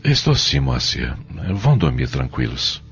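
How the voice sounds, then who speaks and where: A man playing a middle-aged, caring and commanding father in an audio soap, speaking earnestly and haltingly. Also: very deep voice, sing-song, a bit bright, faint music behind, exaggerated voice. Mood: calm, reassuring. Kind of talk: audio soap opera acting. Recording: muffled, dull audio drama recording.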